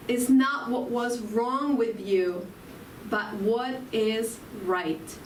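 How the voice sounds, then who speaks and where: A woman from Argentina with an Argentine Spanish accent delivers a spiritual talk at a slow, deliberate pace, often turning questions back to her listeners. Her voice sits a little high. She is picked up from some distance in a roomy hall, with faint ambience behind her. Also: slight background noise. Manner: slow, reflective, asks the audience questions. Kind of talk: inspirational sermon.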